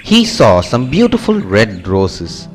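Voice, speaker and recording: A man narrating a children's story in a clear, expressive way. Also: lowish voice, slow pace. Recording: music under the narration.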